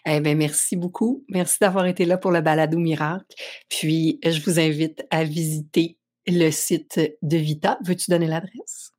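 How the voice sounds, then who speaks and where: A woman's voice: sits low, steady tone, moderate pace, a little mellow. A woman hosting a podcast interview, encouraging her guest with brief interjections. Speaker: podcast host.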